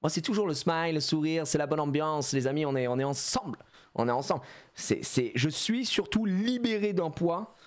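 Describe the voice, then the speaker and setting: A man's voice: a little high, moderate pace. A man chatting casually on a live stream as he opens trading card packs, joking around and laughing often. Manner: animated and jokey, laughs often, plays on words.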